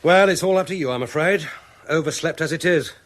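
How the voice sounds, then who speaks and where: An actor playing a wry, grumbling but affectionate British husband in a radio drama, with a husky voice. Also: male voice, a little high, brisk, close to an everyday voice. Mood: exasperated. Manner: grumbling, mild swearing, British colloquial turns. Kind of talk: naturalistic radio drama acting.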